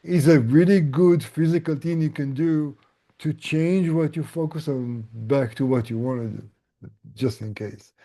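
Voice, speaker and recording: A mellow-voiced man leads a relaxed group session online. He takes his time, speaking slowly and gently as he explains things like a coach. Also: on the high side. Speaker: host and tinnitus relief coach.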